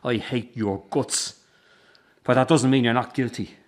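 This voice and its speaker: An actor playing a middle-aged circus boss in a radio drama, defensive, bitter and short-tempered. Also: male voice, mid-range voice, steady tone, measured pace, natural voice. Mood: angry, accusing. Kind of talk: naturalistic radio drama acting.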